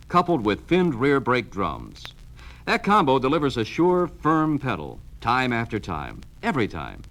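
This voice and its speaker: An American man narrates a vintage 1970s promotional film with a lively, swinging pitch. He delivers clipped, emphatic sales phrases over clearly audible music, as heard on an old vinyl record. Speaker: narrator of a car dealer training film.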